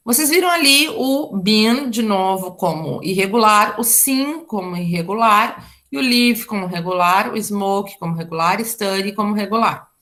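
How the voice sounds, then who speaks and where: Brazilian woman teacher, chatty online grammar lesson, bright voice with some fry. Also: medium pitch. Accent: Brazilian Portuguese. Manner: chatty, explains with asides and "tá?". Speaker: English teacher.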